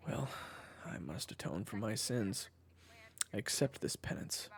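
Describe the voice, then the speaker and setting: Gravelly low-voiced reformed crook, acted by a man. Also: expressive, unhurried, exaggerated voice. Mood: playful. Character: male reformed criminal, weary protective family man, narrating. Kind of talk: audio roleplay acting with first-person narration.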